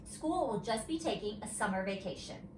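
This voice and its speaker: Roomy recording, lady reading as a patient but firm kindergarten teacher, storybook, lively pitch. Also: normal speed, natural voice. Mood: patient, apologetic. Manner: explaining carefully to young children. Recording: roomy, distant mic, faint noise.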